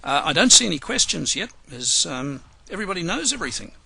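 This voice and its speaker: A hoarse man runs a live software webinar, working through steps with plenty of ums and restarts. His voice is gravelly and husky, yet sharp and forceful in tone. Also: a little high, very animated, low-quality recording. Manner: unhurried, many fillers and restarts. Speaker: software trainer.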